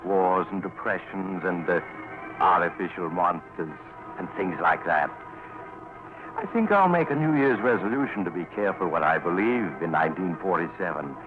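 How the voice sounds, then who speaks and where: An actor playing a wry, mysterious storyteller who hosts a 1940s radio anthology in a sly conversational manner. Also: male voice, deep voice, animated, normal speed. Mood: wry, genial. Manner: conversational, sly, tongue-in-cheek. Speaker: host narrator. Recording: dull vintage radio with music behind.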